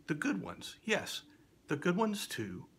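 A middle-aged man reciting a children's poem like a spooky storyteller, swinging between hushed whispers and loud cries. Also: sits high, wildly swooping pitch, slow pace, slight background noise. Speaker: storyteller reading children's poetry. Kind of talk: dramatic theatrical poetry recitation for children.

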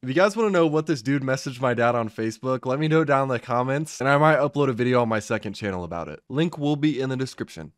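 A man's voice: mid-range voice, fast, hardly any pauses. Cheeky young man trolling a meeting. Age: young adult. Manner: cheeky, teasing, deadpan trolling. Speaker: Zoom prankster and channel host. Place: home room at a desk. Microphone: headset with boom mic on arm.

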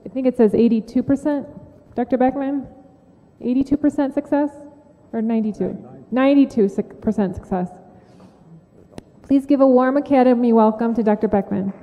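A woman's voice: fairly high pitch, mellow, big-room sound. A woman moderator at a conference, reading a speaker's introduction from a lectern. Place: large conference hall stage. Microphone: lectern microphone.

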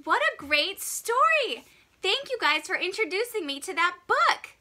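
A woman in her thirties hosting a read-aloud for young children, with enthusiastic, expressive storytelling. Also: very high voice, a little slow. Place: small indoor room before a fabric backdrop.

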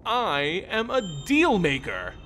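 A man's voice: sits high, huge pitch swings, slow, fairly bright tone, slight background noise, voice pushed up, full character voice. A man voicing a haughty female vampire lord in a fantasy story, coy, mocking and condescending. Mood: offended, hissing.

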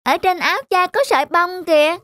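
A woman's voice: extremely high pitch, brisk. A woman voicing a naive, obedient little village boy in a folk-tale cartoon, innocent and earnest. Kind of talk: animated folk-tale acting.

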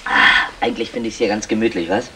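An actor playing a bossy, grumbling small-time burglar with a wry manner, in a 1960s German radio play. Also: male voice, fairly low pitch, fast talker, bright, forceful tone. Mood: chatty, wry. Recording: noisy old radio play recording.

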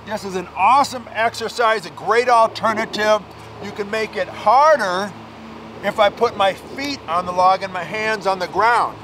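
A man's voice: high voice, moderate pace, pushed and bright, slight background noise, low-quality recording. A man in his forties, a fitness coach demonstrating a workout outdoors at a park playground, talking energetically with casual slang.

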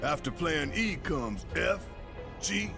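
An actor playing a big, hot-headed resistance fighter in a video game, with a gruff American voice and a brash, slangy, theatrical delivery. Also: male voice, a little high, animated, slow pace, music in the background, full character voice. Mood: determined. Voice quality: gruff, gravelly.

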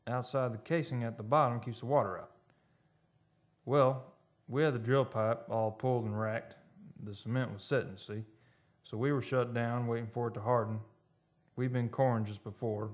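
An actor playing a rough, folksy oil roughneck telling his tale in colloquial speech, in an old-time radio drama style. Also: mid-range voice, natural voice. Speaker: actor and narrator in radio play. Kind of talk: old-time radio drama acting, first-person storytelling. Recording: live radio studio performance with music.

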